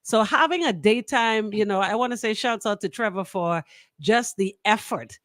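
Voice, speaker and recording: A woman's voice: medium pitch, moderate pace, fairly bright tone, no room sound, clean studio sound. A woman with a Bahamian accent hosting casual, opinionated radio banter in an emphatic, colloquial way, often throwing out rhetorical questions. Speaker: radio talk show host. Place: radio studio.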